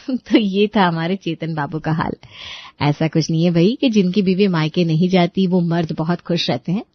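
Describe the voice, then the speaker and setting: A woman's voice: fairly low pitch, normal speed, no room sound, muffled recording. A woman narrating a scripted comic story, chatting to the listeners as she tells it.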